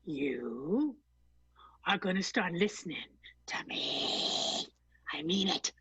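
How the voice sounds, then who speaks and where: A woman's voice: fairly low pitch, animated, slow pace, fairly bright tone, slightly rough, full character voice, rough recording. A woman playing a bossy, exasperated theater manager laying down the law in an improvised comedic radio play, stern and insistent.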